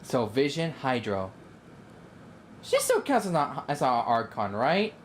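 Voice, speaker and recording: A man's voice: medium pitch, sing-song, a little slow, slight background noise. A young man with an enthusiastic, easily delighted manner, giving casual live commentary as he plays a game.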